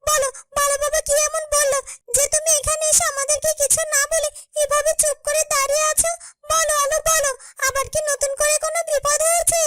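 Squeaky, fretful little cartoon companion. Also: female voice. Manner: fretful, insistently questioning. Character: small, fretful, anxious companion of the heroine. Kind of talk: dramatic fairytale cartoon dubbing.

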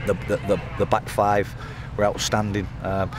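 A man in his thirties, a football manager giving a post-match interview outdoors by the pitch at night, talking in rambling runs full of fillers and restarts. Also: monotone, normal speed. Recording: outdoor interview with loud background noise.

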